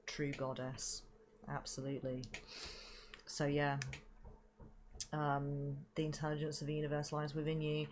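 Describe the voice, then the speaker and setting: Low-pitched woman, sniffly stuffy nose, meandering spiritual reading, halting fillers, unhurried. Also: completely flat delivery, a little hiss or hum. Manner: meandering, halting with many fillers, casual swearing. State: stuffy and sniffly from hay fever. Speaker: spiritual reader. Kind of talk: rambling spiritual reading to viewers.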